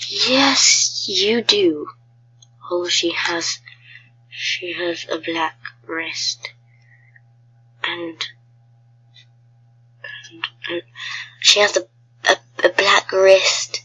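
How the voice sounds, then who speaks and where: A woman's voice: on the low side, pushed and bright, husky, highs cut off. A woman with a cold, chatting casually to viewers as she thinks aloud during gameplay commentary. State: has a cold, sounds ill. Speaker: gaming video creator.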